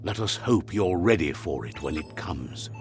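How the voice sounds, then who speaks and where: A man narrating a game's story calmly, like a storybook, and relaying what a creature says. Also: deep voice, measured pace. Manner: calm storyteller, translating a creature's speech. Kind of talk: scripted storybook narration for a game. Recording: game audio with faint music behind.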